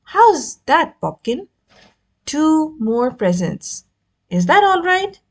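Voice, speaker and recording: A woman's voice: sits high, very animated, slow, pitched above the performer's own voice, exaggerated voice. A woman voicing a fussy storybook mother and aunt who swings between cloying and snappish. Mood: coaxing, doting. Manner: cloying to her son, sharp otherwise. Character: doting, snappish middle-class aunt and mother.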